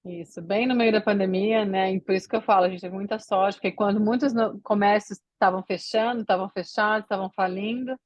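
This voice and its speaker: A woman's voice: mid-range voice, steady tone, a little fast, hardly any pauses, dry recording. A woman from Brazil, a podcast guest, chatting casually and rambling with many fillers and restarts. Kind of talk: casual interview chat.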